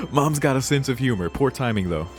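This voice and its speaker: A man giving casual, foul-mouthed, emotionally invested commentary on a visual novel as he plays it and reads it aloud. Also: mid-range voice. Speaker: streamer playing and reading a visual novel. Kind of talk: casual let's play commentary mixed with reading game text aloud.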